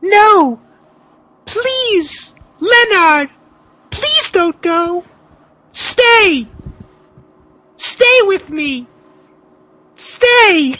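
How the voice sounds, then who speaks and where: Man putting on a very high, melodramatic heartbroken woman. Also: lively intonation, sharp tone, heavily acted. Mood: pleading. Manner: wailing, drawn-out, overwrought. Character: melodramatic heartbroken woman turning into a vengeful bat vampire. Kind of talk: comic dramatic reading of fan fiction.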